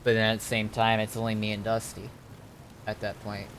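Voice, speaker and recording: A man talking casually on a game stream, thinking things through aloud in a halting, deliberating way. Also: on the low side, monotone, fairly bright tone, slight background noise. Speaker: streamer and roleplay gamer.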